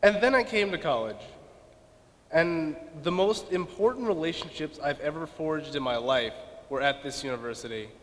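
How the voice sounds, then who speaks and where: A man's voice: fairly high pitch, moderate pace, fairly bright tone. A young man from Nevada, a student giving a self-deprecating speech with joking asides at a donor event. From Las Vegas, Nevada. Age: young adult. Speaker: student speaker. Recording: reverberant hall.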